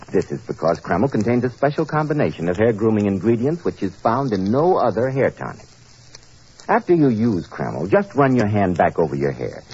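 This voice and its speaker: A man's voice: lowish voice, a little mellow, slightly rough. A man announcing and selling as a 1940s radio host, polished and emphatic. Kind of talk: 1940s American radio announcing, sponsor pitch. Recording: muffled, noisy 1940s radio recording.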